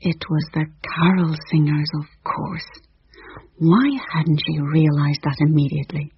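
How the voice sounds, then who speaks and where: A man's voice: on the high side, level tone, measured pace, husky, no room sound. A man narrating a radio drama with a wry storytelling manner, on dull, dry old audio. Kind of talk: scripted narration.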